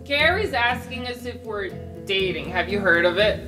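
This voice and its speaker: A woman acts out an upbeat, oddball magic student in a roleplay podcast. The voice sits at a middle pitch with a casual, naive chattiness, and music plays behind it. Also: expressive, normal speed, close to an everyday voice. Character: naive, upbeat, eccentric student Shelly, roommate of a rabbit.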